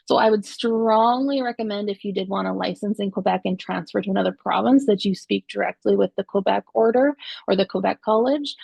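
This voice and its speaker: Creaky-voiced woman answering questions. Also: mid-range voice, darkish tone, dry recording. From Canada. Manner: friendly, informal, with laughs and fillers. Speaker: optometrist and exam board member.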